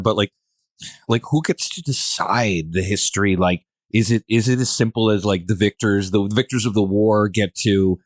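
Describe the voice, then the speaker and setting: Man, somewhat deep voice, dry recording, casual host, rambling, repetitive phrasing. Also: dry recording. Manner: rambling, repeats words while forming questions. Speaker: podcast host. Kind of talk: casual conversational interviewing.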